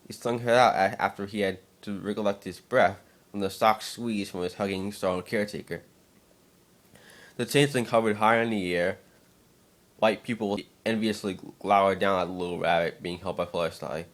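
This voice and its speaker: A man with a fairly low voice reads a fan-fiction tale. He narrates in an expressive, dramatic storytelling manner, occasionally tripping over his words. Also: normal speed.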